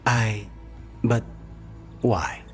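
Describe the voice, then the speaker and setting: An actor playing a brooding, reserved male assassin in a video game, measured and deadpan, with music behind him. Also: lowish voice. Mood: baffled, gentle.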